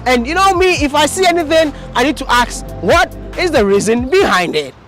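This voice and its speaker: A woman's voice: high-pitched, sing-song, fairly quick, faint music behind. A woman hosting a travel vlog in a casual, enthusiastic, exclamatory way.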